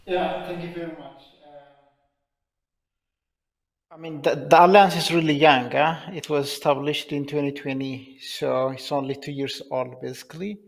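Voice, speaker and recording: A man in his thirties from Ethiopia, joining a conference panel by video call on a headset mic and explaining in an earnest, measured way. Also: on the high side, fairly even intonation, gravelly.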